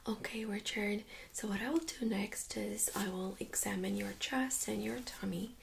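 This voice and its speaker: A woman speaking in a soft, breathy near-whisper, gently giving instructions as a medical examiner in an ASMR role-play. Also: mid-range voice, fairly even intonation, normal speed, noisy background. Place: small room indoors.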